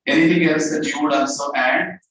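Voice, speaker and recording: A man organizing an event, speaking haltingly with many fillers. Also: fairly high pitch, fairly even intonation, a bit bright, slight background noise. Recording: echoey hall, from far off.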